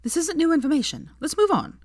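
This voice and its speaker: Casting: woman narrator plays loud, brash young friend in an audiobook, forceful, higher voice. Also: very animated, fast, no echo, studio quality. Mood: loud, impatient. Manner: bald, forceful, sweary.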